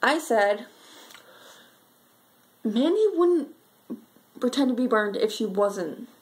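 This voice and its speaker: A sad, frightened schoolgirl played by a woman tells her story in a fairly high voice. The delivery is an earnest amateur monologue, halting and full of pauses. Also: expressive. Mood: troubled recollection.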